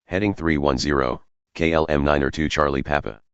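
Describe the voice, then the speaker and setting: A man's voice: very deep voice, steady tone, brisk, fairly bright tone, clear, resonant tone, no room sound. A man giving clipped aviation phraseology over an air traffic radio transmission. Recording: dull, band-limited air traffic radio.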